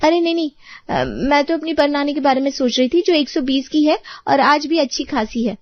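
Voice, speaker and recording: A woman's voice: high voice, sing-song, normal speed, no echo, muffled recording, pitched above the performer's own voice. A woman voicing a haughty, boastful rich neighbour in an audio storybook, with a condescending, showy manner. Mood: cutting.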